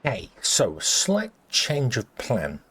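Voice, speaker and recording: A man commentating his gameplay casually, rambling with lots of ums and restarts, and a little croaky as he loses his voice. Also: medium pitch, huge pitch swings, slow, fairly bright tone. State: slightly croaky, losing his voice. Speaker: let's play host.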